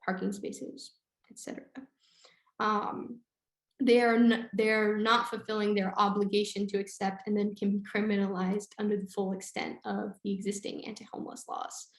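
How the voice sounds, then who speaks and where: A woman presents on a webinar from an echoey room. Her voice is creaky and a little hoarse, as if under the weather, and she explains things haltingly, pausing often. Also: medium pitch, little pitch movement. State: slightly hoarse, unwell. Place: indoor room on an online webinar. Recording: roomy, echoey webinar sound.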